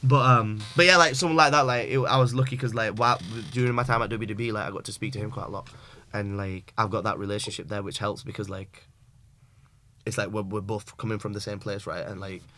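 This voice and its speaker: A man's voice: fairly low pitch, steady tone, fast talker, pushed and bright, slight background noise. A young man from Pakistan, chatting loosely as a podcast guest, full of fillers and slang. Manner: rambling, filler-heavy, uses British slang. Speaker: guest wrestler. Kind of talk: casual podcast chat.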